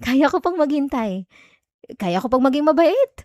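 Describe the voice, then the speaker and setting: High-voiced obsessive yandere stalker woman, acted out. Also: sing-song, a little mellow, no echo, studio quality. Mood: sweetly menacing. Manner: coaxing, giggly, veiled threats, halting stammers. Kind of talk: ASMR roleplay.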